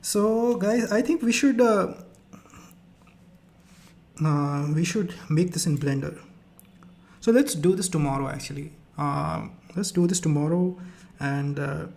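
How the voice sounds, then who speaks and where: A man's voice: fairly high pitch, unhurried, gravelly, pauses often, a little mellow. A young man with an Indian accent, whose first language is Rajasthani, hosting a live stream in casual chat, hesitant with many fillers and restarts.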